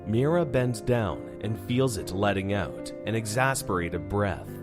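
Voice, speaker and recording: A man's voice: on the low side, lively intonation, a little slow, over music. A man reading scripted narration for a horror story as its narrator.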